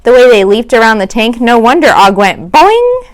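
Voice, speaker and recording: A woman's voice: a little high, normal speed. Woman reading a children's story aloud. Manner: expressive, acts out dialogue.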